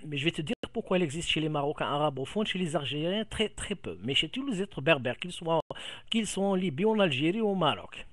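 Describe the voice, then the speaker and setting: A middle-aged man from Algeria's Kabyle region with a Kabyle accent, explaining things to a viewer into a camera mic, halting and repeating words as he searches for them. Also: fairly high pitch, lively intonation, fairly bright tone, no echo. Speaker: vlogger. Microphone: camera microphone.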